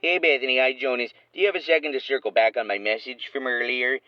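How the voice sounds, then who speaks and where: A curt bureaucrat over intercom. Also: male voice, medium pitch, fast talker, music in the background. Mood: falsely polite. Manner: clipped, officious, condescending. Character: Maria, curt, passive-aggressive alien accounting bureaucrat. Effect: radio intercom filter. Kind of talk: scripted audio comedy acting. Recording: dull, dry intercom call.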